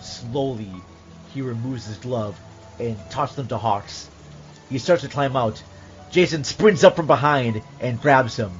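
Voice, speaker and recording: A man's voice: mid-range voice, a little slow. Dramatic male narrator over music, dull audio. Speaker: podcast host. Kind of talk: dramatic script narration and casual chat.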